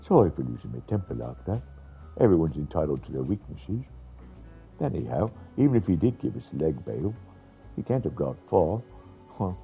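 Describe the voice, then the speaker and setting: A man voicing a gruff, plain-spoken fighter with folksy slang and a practical temper. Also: low voice, phone-quality sound, full character voice.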